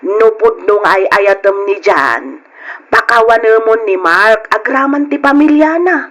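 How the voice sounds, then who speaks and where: A woman playing a worried, gentle mother in an Ilocano radio drama, earnestly admonishing and pleading. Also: a little high, expressive, a little slow. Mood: earnest, consoling.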